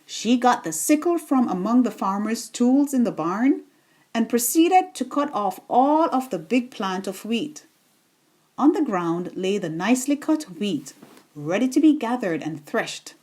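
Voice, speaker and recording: A woman reading a children's bedtime story aloud in a deliberate, expressive storytelling manner. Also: fairly high pitch.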